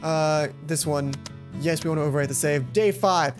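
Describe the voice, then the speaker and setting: A woman's voice: deep voice, moderate pace. A woman hosting a let's play, reading a visual novel aloud and adding her own side comments. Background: game music.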